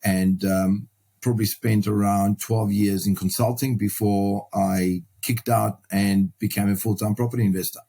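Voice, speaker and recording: A middle-aged man gives conversational interview answers in a low, mellow voice. His delivery is quite flat in melody and measured, with some halting and repeated words as he thinks. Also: breathy edge. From Sudan and South Africa. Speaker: podcast guest, property investor and mentor.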